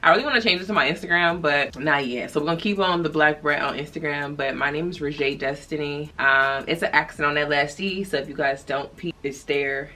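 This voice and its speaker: A woman's voice: deep voice, a little fast, few pauses, pushed and bright. A woman, chatting casually to camera as a vlogger, rambling with lots of slang. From New York. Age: 20s.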